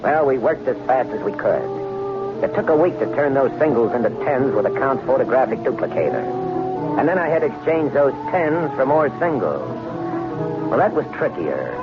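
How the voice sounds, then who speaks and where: An actor playing a bossy, greedy American swindler in an old-time radio drama, slangy and cocky. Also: male voice, medium pitch, huge pitch swings, normal speed. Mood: cocky narrating. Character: brash, bossy, greedy American swindler telling his story. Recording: muffled vintage radio, music underneath.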